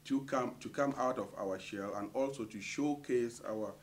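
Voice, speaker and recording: A middle-aged man from Cameroon, a community association treasurer, answering interview questions with many hesitant pauses. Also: medium pitch, a little hiss or hum.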